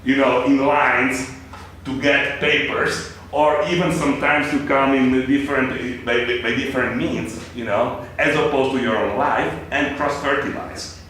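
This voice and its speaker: A male lecturer speaks off the cuff in a very echoey room, picked up from a distance. His medium-pitched voice moves haltingly, with repeated words and pauses. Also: steady tone, a bit bright. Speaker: lecturer leading a seminar. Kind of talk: informal unscripted classroom address. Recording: echoey room, distant mic, background noise.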